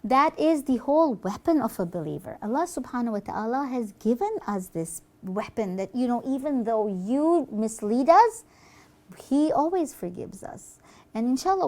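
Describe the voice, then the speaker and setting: A woman's voice: on the high side, darkish tone. A woman playing a pious believer in a puppet show, speaking earnestly and reproachfully to a demon.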